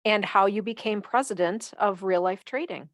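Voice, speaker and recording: A woman's voice: medium pitch, normal speed, no room sound. A woman in her fifties hosting a podcast, reflecting on life and putting questions to her guest. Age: 50s. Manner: reflective, builds up to emphatic questions. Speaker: podcast host. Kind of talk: interviewing a guest.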